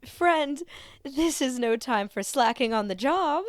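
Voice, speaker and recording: A woman's voice: high voice, expressive, takes it slowly, no echo, studio quality, pitched above the performer's own voice. A woman voicing a cheerful, teasing male robot attendant in a theatrical fan fiction audio story. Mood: jokey.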